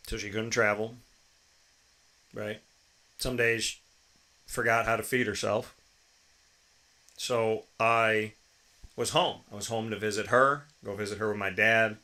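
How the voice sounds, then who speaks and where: A man's voice: fairly low pitch, pushed and bright. An American man in his thirties hosting a show with a motivational personal monologue, speaking emphatically and repeating phrases and rhetorical questions. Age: 30s. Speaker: show host.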